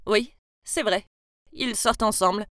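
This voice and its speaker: A woman voicing a calm young man who answers the phone for a friend, speaking in a measured way. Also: medium pitch, dry recording, studio quality, pitched below the performer's own voice, close to an everyday voice.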